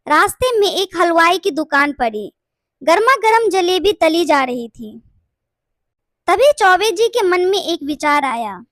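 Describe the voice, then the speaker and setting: A woman's voice: very high-pitched, a bit bright, no room sound, studio quality. A woman reading scripted narration for a children's bedtime story.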